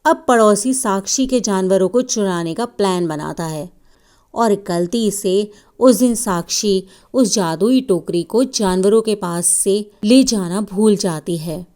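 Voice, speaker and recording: A woman's voice: mid-range voice, a little slow, darkish tone. A woman narrating a scripted children's story as a storyteller.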